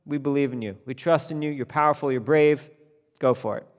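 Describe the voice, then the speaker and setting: An overbearing, insistent king, as a male storyteller puts him on, barreling on in a high, loud voice. He will not let anyone finish. The room carries a long echo. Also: a little fast, close to an everyday voice. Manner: insistent, talks over others.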